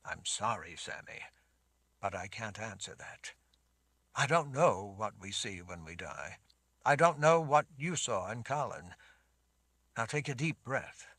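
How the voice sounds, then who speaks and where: A man's voice: a little high, expressive, a little slow, bright, forceful tone, no room sound, pitched above the performer's own voice. A man voicing a protective lord who speaks in a firm, insistent voice. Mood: gentle.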